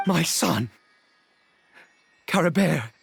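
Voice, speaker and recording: A man playing a cursed, immortal old father in a video game, desperate and bitter, with a halting, theatrical delivery. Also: fairly high pitch, expressive, gravelly, fairly bright tone, breathy edge, no echo, soft background music, exaggerated voice. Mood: desperate, pleading. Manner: halting, theatrical, swinging from muttering to pleading. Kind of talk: dramatic video game voice acting.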